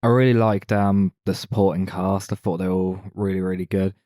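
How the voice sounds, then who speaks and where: A man's voice: low voice, fairly even intonation, fairly quick, dark tone, no room sound, studio quality. A man co-hosting a film podcast, talking casually about movies.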